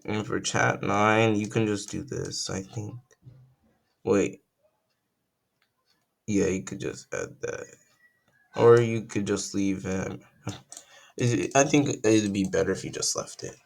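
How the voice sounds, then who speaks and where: A young man, croaky from just waking up, talks through a casual coding tutorial at his computer, thinking aloud and correcting himself as he goes. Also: fairly low pitch, fairly even intonation, slow pace, long pauses.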